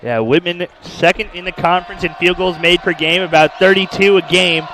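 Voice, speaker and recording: A man's voice: sits high, moderate pace, bright, forceful tone, a little hiss or hum, poor audio. A man giving conversational basketball color commentary, agreeing with his partner and then explaining the play and the stats.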